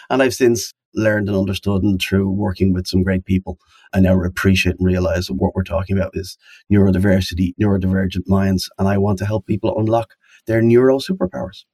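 A man's voice: fairly low pitch, darkish tone, dry recording. A man being interviewed on a podcast as a company founder, speaking in a thoughtful, wordy way and repeating words for emphasis. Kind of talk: conversational interview answers.

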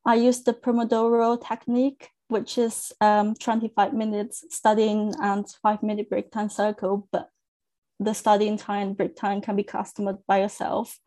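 A young woman from China whose first language is Chinese, speaking earnestly as a guest on a podcast interview, with thoughtful pauses and restarts. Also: medium pitch, completely flat delivery, dark tone. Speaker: academic coach, guest.

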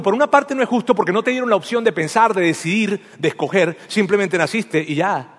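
A man's voice: high voice, in a large hall. A man from Venezuela, preaching a sermon with humorous stories and asking his audience questions.

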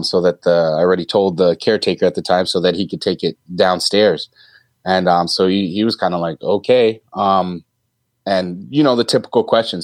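Deep-voiced man, hesitant and thoughtful. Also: no echo. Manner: thoughtful, hesitant, many ums and restarts. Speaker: teacher guest. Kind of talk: casual interview answers.

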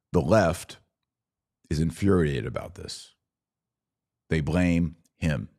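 A man with a low-pitched voice hosts a live commentary podcast. He speaks emphatically and deliberately, leaning on repeated phrases to press his points. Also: darkish tone. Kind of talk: live political and religious commentary.

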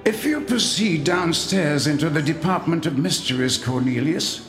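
A man voicing a calm, commanding old male wizard headmaster who speaks in a measured, grave way. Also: on the high side, over music, voice pushed up, lightly acted. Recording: roomy echo.

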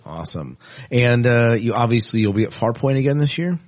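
A man's voice: medium pitch, expressive, a little fast. A man hosting a casual interview in an informal, upbeat way. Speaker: podcast host.

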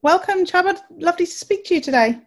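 A woman hosts a podcast, reading her intro and then chatting with a guest. Her voice sits high, and she sounds upbeat and encouraging as she asks her questions. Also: expressive, fast. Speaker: podcast host. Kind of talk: scripted intro and casual interview.

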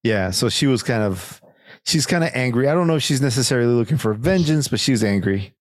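A man's voice: medium pitch, animated, a little fast, dry recording, clean studio sound. A Mexican-man, co-hosting a casual show review, rambling and laughing often. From Mexican-American, United States. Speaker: podcast co-host.

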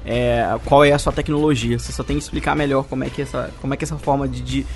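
A man's voice: medium pitch, little pitch movement, fast, soft background music. A Brazilian man co-hosting a podcast, making his points earnestly in casual chat. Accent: Brazilian Portuguese. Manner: earnest, argumentative, speeds up. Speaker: podcast co-host.

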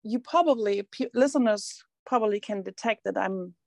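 A woman author whose first language is not English, answering interview questions earnestly, with halting restarts. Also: mid-range voice, creaky, no room sound, studio quality. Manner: earnest, halting, repeats words. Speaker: guest author.

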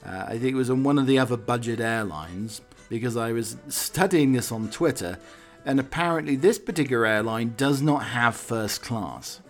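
A man's voice: medium pitch, normal speed, faint music behind. A man hosting a comic talk on the week's news, wry and digressive, with hesitant asides. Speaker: podcast host.

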